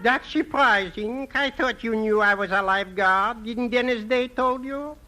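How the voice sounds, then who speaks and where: Genial immigrant chatterbox, 1950s radio comedy: piercingly high, bright, folksy sing-song delivery. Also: male voice, unhurried, heavily acted, rough recording. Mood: genial, chatty.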